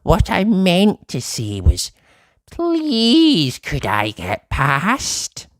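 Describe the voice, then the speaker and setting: A man voicing a rude, self-important gentleman in a storybook, haughty and snappy. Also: high voice, huge pitch swings, drawn-out words, no echo, studio quality, pitched above the performer's own voice, exaggerated voice. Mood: flustered.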